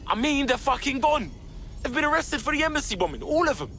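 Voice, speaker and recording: A man's voice: very high voice, very animated, quick pace, sharp tone, soft background music, heavily acted. A man playing a blunt, agitated resistance fighter in a video game, speaking with urgency. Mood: alarmed, bitter. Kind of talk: scripted game dialogue.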